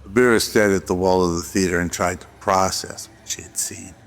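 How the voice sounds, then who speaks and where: A man reading scripted narration for an audio drama in a steady voice. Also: sits low, brisk, vocal fry.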